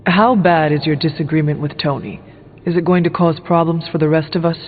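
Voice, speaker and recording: An actor playing a level-headed, earnest warrior hero in a video game, with a measured and formal way of talking. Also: male voice, fairly high pitch, fairly even intonation, slight background noise, lightly acted. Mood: serious, questioning. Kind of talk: video game character dialogue. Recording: phone-like band-limited sound under music. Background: music.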